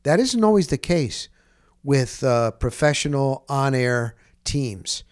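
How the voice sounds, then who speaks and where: A man's voice: mid-range voice, sing-song, slow pace, smooth. A man hosting a podcast, talking casually in a rambling, emphatic way and repeating phrases for stress. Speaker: podcast host. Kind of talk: casual conversational podcast introduction.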